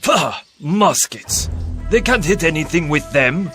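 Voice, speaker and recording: An actor playing a pompous, dismissive and quarrelsome court minister in a dramatic game cutscene. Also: male voice, a little high, very animated, slow, full character voice. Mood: scornful, accusing. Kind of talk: dramatic scripted game acting. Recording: loud music behind the voice.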